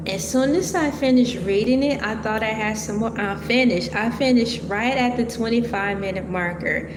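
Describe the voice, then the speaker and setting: A woman's voice: on the high side, moderate pace. A woman from Michigan with a slight Southern accent, casually reading about a cartoon and making playful, rambling asides. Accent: Southern US, Georgia-tinged. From Michigan, USA. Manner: rambling, playful, stops to comment on names. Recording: echoey room with long reverb and noise.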